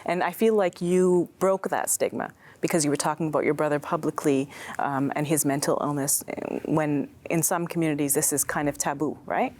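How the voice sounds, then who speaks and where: Woman host, deep for a woman, asks thoughtful questions, lots of soft mm-hmms. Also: steady tone, normal speed, few pauses. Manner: attentive, frequent soft affirmations. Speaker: show host. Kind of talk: interview questions.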